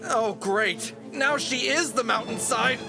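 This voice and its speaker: An actor playing a hapless but determined adventurer in an audio drama, wry, grumbling and quick to exclaim. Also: male voice, very high-pitched, vocal fry, music in the background, exaggerated voice. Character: hapless but determined male fedora-wearing adventurer hero.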